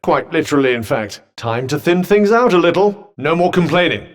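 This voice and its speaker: An actor playing a haughty, well-spoken talking magic cuff in a video game, with a British accent and dry, sarcastic, formal delivery. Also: male voice, a little high, lively intonation, moderate pace, close to an everyday voice. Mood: wry, goading. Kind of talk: scripted video game companion dialogue.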